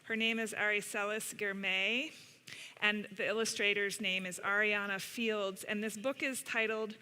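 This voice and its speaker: A woman reading a picture book aloud in an unhurried, deliberate way with pauses, in a large church space. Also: medium pitch, fairly even intonation, bright, forceful tone, smooth. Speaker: storyteller reading to children at a church service. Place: large indoor church sanctuary. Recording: reverberant large hall.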